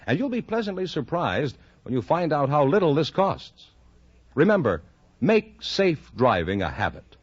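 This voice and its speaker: A man's voice: on the high side, big pitch swings, moderate pace, husky. A man with an American accent reading scripted sponsor announcements on 1950s radio, in a polished salesman's delivery. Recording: dull vintage radio broadcast, some music.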